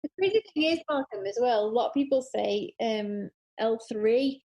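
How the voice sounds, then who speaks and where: A woman's voice: a little high, moderate pace, mellow. A woman in a casual chat about films, talking in an enthusiastic, rambling way. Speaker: podcast guest.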